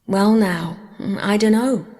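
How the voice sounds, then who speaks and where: Audiobook role, read by a woman: quiet, bashful male country character, slow-spoken and folksy; hall-like reverb. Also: mid-range voice, close to an everyday voice. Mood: shy, mild. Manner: halting, reserved, folksy.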